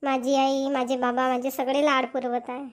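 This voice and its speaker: A woman acts out a chatty village wife from a homely Marathi family cartoon. Her voice is high, with a Varhadi accent from Vidarbha. She talks in a plain, conversational way, like everyday family dialogue. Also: little pitch movement, moderate pace, lightly acted. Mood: happy, chatty.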